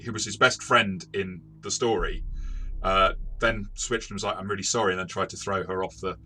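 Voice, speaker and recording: A man's voice: low-pitched, pushed and bright, slight background noise. A man talking through a tabletop game review as its game master, explaining in a conversational, self-correcting way.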